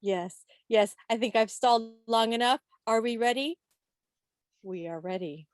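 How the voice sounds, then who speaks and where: Higher-pitched American woman, playful quiz host. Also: unhurried, no echo, studio quality. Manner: playful schoolteacher-like address. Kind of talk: informal playful quiz hosting. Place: online video meeting. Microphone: video call microphone.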